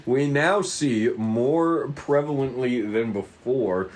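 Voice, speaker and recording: A man's voice: mid-range voice, slow, darkish tone, poor audio. A man reading stage directions aloud as narrator at an amateur table read.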